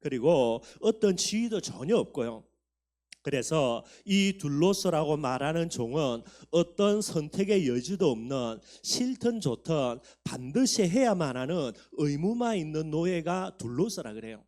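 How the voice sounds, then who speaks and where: A man's voice: fairly high pitch, very animated, unhurried, a little mellow. A man in his fifties, a pastor preaching a sermon, talking earnestly to the congregation and building up for emphasis. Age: 50s.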